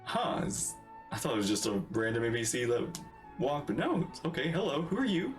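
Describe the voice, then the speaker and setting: A man with an animated, swinging delivery and medium pitch. He talks casually as a streamer reacting to a game, with faint game music underneath. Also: fairly quick. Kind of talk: casual gameplay commentary, reading dialogue aloud.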